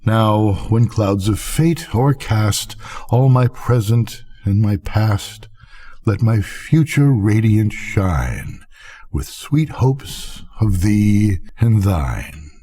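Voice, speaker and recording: An older man with a husky voice reads a gothic literary story in a solemn, measured manner. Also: lowish voice, expressive, dark tone. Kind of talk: scripted literary narration, gothic bedtime story reading.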